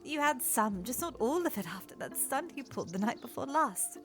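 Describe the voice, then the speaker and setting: A woman playing an eager, proper, playful young princess in an audio drama, with formal, expressive phrasing. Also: a little high, a little fast, soft background music.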